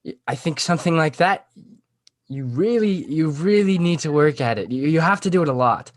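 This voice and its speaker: A man's voice: a little high, lively intonation, no room sound, studio quality, natural voice. Man reading as needy, stammering lovesick teenage boy. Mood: insistent, pleading. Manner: halting, stammering, pleading. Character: earnest, needy, lovesick sixteen-year-old boy. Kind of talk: table read of a comedy script.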